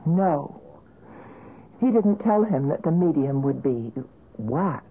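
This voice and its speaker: An actress playing an earnest, caring friend with a husky voice in a 1970s radio drama. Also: female voice, sits low, expressive, takes it slowly, a little hiss or hum, full character voice. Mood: concerned. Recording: tinny old radio recording.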